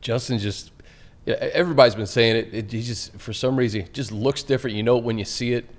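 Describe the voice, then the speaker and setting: A man's voice: medium pitch, quick pace. A man hosting a sports podcast, introducing a guest and asking questions. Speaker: podcast host.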